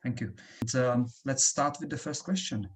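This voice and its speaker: A man's voice: mid-range voice, normal speed, slight background noise. Polite hesitant male interviewer. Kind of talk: video call interview. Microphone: video call.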